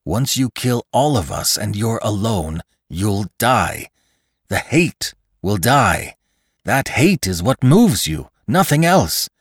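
A man's voice: lowish voice, big pitch swings, takes it slowly, slightly rough, no room sound, studio quality, pitched above the performer's own voice, heavily acted. A man voicing a logical, reasonable man in a storybook reading, arguing insistently and articulately for his life. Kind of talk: dramatic storybook narration.

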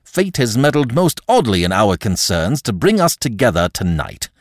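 A man's voice: lowish voice, sing-song, normal speed, no room sound, clean studio sound. A man voicing a stern, vengeful young hero in an audiobook, commanding and coolly ironic. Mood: cold irony. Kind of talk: dramatic audiobook character acting.

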